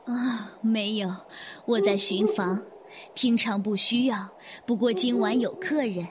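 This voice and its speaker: A woman acting in a Chinese audio drama as a gracious, weary middle-aged hotel manager, soft-spoken, polite and unhurried. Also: fairly high pitch, background noise, close to an everyday voice. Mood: friendly.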